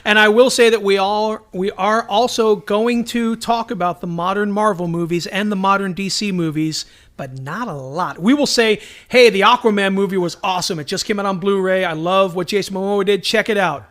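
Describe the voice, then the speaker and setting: A man's voice: high voice, moderate pace, fairly bright tone. A man co-hosting a casual podcast chat, talking in an enthusiastic, slangy, self-deprecating way. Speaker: podcast co-host. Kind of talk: casual chat about superhero shows.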